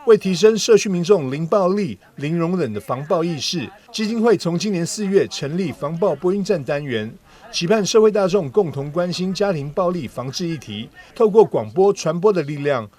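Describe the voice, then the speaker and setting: A man's voice: sits high, animated, moderate pace, a little mellow. A man with a Taiwanese Mandarin accent reading scripted narration as a news reporter.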